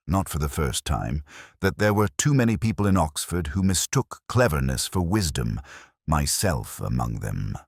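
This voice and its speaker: A man playing a brooding, cerebral older detective inspector, narrating a mystery in a measured, grave, literary manner for a radio drama. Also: low-pitched, husky, dry recording, studio quality, exaggerated voice.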